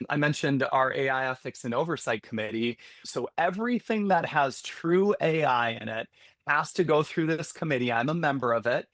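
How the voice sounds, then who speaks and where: A man with a middle-pitched voice speaks candidly as a podcast guest, working through his thoughts out loud and sometimes restarting a phrase. The recording is dry and close, with no room sound. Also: dry recording. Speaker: healthcare security executive, podcast guest. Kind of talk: conversational interview.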